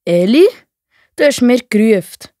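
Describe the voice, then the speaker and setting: A woman's voice: fairly high pitch, expressive, unhurried, dark tone, no room sound, studio quality. A woman playing an eager, obedient young boy in a children's Bible audio play. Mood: puzzled, eager.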